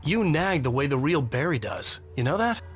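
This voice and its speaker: An actor playing a weary, troubled writer trapped in a nightmare, terse and exasperated in scripted game narration and dialogue. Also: male voice, fairly high pitch, big pitch swings, moderate pace. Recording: music behind the voice.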